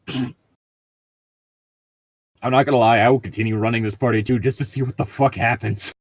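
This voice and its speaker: A man's voice: medium pitch, steady tone, normal speed, husky, no room sound, studio quality. A man co-hosting a podcast, switching between casual chat and reading stories aloud.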